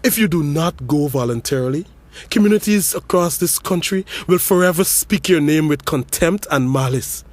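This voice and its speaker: An actor playing a grieving brother who gives an intense, accusing eulogy, swinging from hushed menace to shouts. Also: male voice, fairly high pitch, moderate pace, no room sound, lightly acted. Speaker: eulogy speaker at a funeral. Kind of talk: dramatic audio story monologue.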